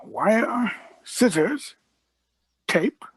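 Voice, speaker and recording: Eccentric, imperious Doctor female performer, radio play. Higher-set voice, emphatic, close dry sound. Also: expressive, drawn-out words, slightly rough, dry recording, heavily acted. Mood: brisk, pleased. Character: urgent, eccentric, commanding alien Doctor, quick-witted. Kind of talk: scripted radio play reading.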